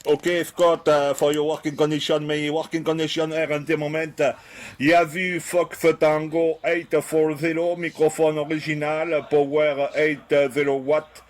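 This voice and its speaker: A man with a French accent making a radio contact in halting, repetitive English as an amateur operator. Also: on the high side, little pitch movement. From southern France. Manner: halting, apologetic non-native English with repetitions. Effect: radio transmission.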